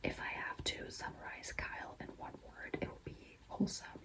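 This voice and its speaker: A young woman whispering in a breathy, mostly unvoiced voice, chatting confidingly and casually to viewers as a vlogger telling a personal story. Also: normal speed. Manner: confiding, conversational, frequent "like" and "you know".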